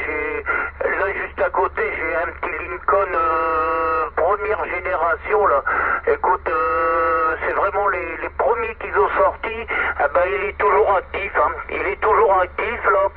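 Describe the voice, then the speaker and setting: A man's voice: fairly high pitch, steady tone, a little slow, a crowd in the background. A man, heard through a CB radio, chatting casually and hesitantly with little tags ending his phrases. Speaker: CB radio operator. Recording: dull CB radio speaker, faint noise.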